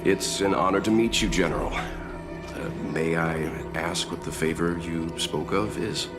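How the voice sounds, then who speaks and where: A man voicing an earnest, level-headed traveller in a game, reasoning in a measured, respectful way. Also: deep voice, over loud music. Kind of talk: scripted video game cutscene acting.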